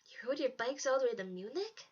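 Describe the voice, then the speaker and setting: A woman's voice: high voice, lively intonation, fairly quick, fairly bright tone, dull audio. A woman voicing an eager, innocent ten-year-old German village boy with a German accent in a storybook reading. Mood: desperately curious. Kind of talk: storybook character voice.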